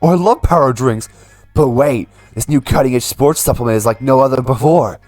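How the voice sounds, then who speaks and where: A man voicing a young, dim, earnest werewolf jock from a video game, excitable and full of bro talk. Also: mid-range voice, a little fast, dry recording, faint music behind, close to an everyday voice. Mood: eager, excited. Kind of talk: video game character voice read aloud.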